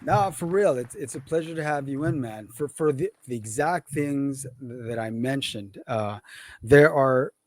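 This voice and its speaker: A man's voice: mid-range voice, a little slow, darkish tone, some chatter behind. A man hosting an interview podcast, talking in a hype, emphatic way with hip hop slang. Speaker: podcast host.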